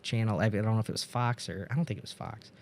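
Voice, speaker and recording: A man's voice: fairly low pitch, fast talker, a little hiss or hum. Enthusiastic man chatting on a podcast. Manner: enthusiastic, gushing about past projects. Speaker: podcast host and producer. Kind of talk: casual podcast conversation.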